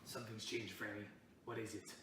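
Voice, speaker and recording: A brooding, lovesick young man acted by a man in an amateur drama, accusing and jealous in a fairly low voice. He sounds distant from the microphone in a very echoey space with noise behind him. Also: flat delivery, fairly quick, bright, forceful tone. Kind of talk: amateur scripted student drama. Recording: very echoey, distant, noisy room.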